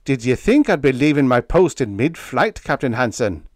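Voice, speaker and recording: A man's voice: mid-range voice, big pitch swings, moderate pace, no echo, pitched above the performer's own voice, close to an everyday voice. Man doing a dour, stubborn ship's engineer. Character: dour, stubborn Scottish male spaceship chief engineer.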